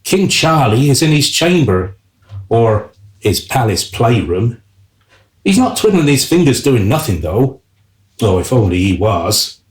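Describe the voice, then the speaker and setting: An actor playing the wry narrator of a comic royal radio play, theatrical and deliberate, in an echoing recording. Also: male voice, medium pitch, sing-song. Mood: wry, scene-setting. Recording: roomy, echoing sound.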